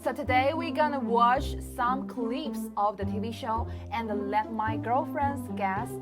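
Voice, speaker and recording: Woman host from China, chatty and upbeat, higher voice, clear music bed. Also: a little slow. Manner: chatty, quizzing friends with frequent laughs. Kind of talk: casual chat.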